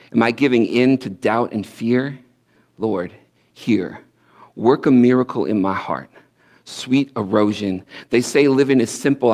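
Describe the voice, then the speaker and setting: A man's voice: medium pitch, a little mellow. A man in his thirties performing spoken word poetry on a church stage through a headset mic. He speaks in a rhymed, sing-song cadence that swells, then drops to near-whispers. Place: indoor church stage with curtains.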